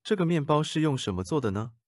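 A man reading short translated sentences for a language lesson in a brisk, even way. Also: a little high, no echo, clean studio sound. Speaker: translation reader.

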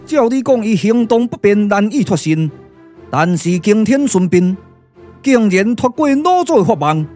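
A man's voice: sits high, big pitch swings, darkish tone, faint music behind. A man playing an ambitious, demanding ancient king in a Taiwanese puppet radio drama, with stately, pompous delivery and a Taiwanese accent. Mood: worried. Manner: stately, pompous, measured declamation.

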